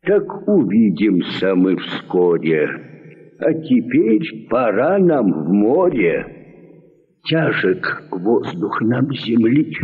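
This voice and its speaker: Solemn old sea warrior, extremely slow. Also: male voice, lowish voice, very animated, full character voice. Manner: stately, declamatory, drawn-out verse. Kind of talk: 1940s Soviet cartoon verse acting. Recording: thin, phone-like 1940s film soundtrack.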